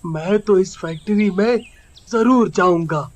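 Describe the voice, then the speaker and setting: A man's voice: high voice, unhurried. A man voicing an earnest, plain-spoken village workman in cartoon story dialogue. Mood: eager.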